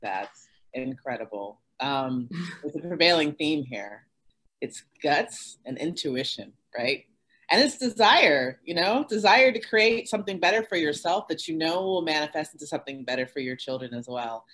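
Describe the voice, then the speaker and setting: A woman's voice: deep voice, animated, normal speed, fairly bright tone, low-quality recording. A woman hosting a casual panel conversation, asking open questions with affirming asides and fillers. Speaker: host.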